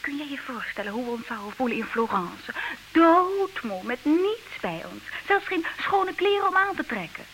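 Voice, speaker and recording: Evasive coaxing wife, high voice, swooping intonation, theatrical old radio play, hissy. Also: female voice, very animated, normal speed, fairly bright tone, rough recording. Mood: dismissive. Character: coaxing, evasive wife, affectionate but dismissive. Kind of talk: old Dutch radio drama acting, theatrical.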